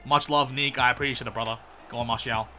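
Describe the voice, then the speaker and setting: A young man hosting a gaming video in a casual, chatty way, his voice slightly croaky and strained, and he bursts into loud excited shouts. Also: mid-range voice, fast, faint music behind. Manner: chatty, calls viewers boys, erupts into loud excited shouts.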